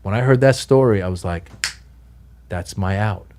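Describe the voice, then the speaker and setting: A middle-aged man from Iran, telling long stories in a casual podcast chat and jumping up in pitch when he gets excited. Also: normal speed. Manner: animated storyteller, pitch jumps high when excited. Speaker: guest comedian.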